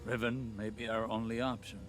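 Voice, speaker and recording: An actor playing an older scholarly warlock in a video game, grave and proud, with formal, measured, erudite speech. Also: male voice, on the low side, level tone, music in the background, natural voice. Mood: grave, troubled.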